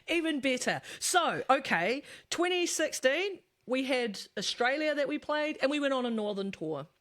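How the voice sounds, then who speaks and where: A woman with a New Zealand accent co-hosting a casual podcast chat, chatty and quick to laugh as she tells anecdotes. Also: high-pitched, animated, a bit bright. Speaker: podcast co-host.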